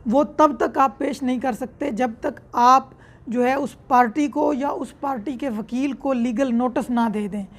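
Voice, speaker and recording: A woman with a Pakistani accent lecturing in an explanatory way, reading formal text, then posing questions and answering them. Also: high voice. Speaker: law lecturer.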